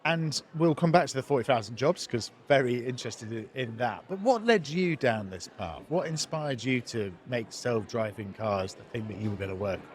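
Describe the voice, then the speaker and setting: Male podcast host, average-pitched voice, informal conversational questioning. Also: animated, moderate pace, hardly any pauses. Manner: informal, prompting with tag questions. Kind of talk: conversational interviewing.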